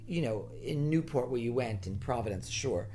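An emphatic man in an easygoing conversation, circling back over his own phrases as he builds toward a point. His pitch sits in a plain middle range. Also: animated, normal speed, mellow, slight background noise. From Rhode Island. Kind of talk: casual conversation.

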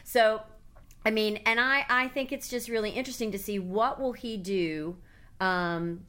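A woman's voice: on the high side, a little slow, bright, forceful tone. A woman from Tennessee, chatting about politics in a rambling, hedging way. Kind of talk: casual political chat.

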